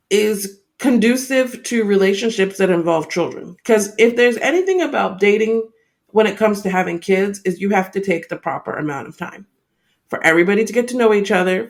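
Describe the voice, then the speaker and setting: A woman co-hosting a podcast, giving her opinions in a casual, explanatory way and laying out her points one after another. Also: medium pitch. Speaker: podcast co-host.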